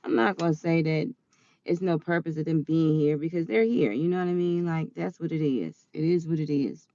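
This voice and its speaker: A woman around forty telling a personal story in a chatty, animated way, quick to laugh. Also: deep voice, no room sound, muffled recording. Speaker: vlogger.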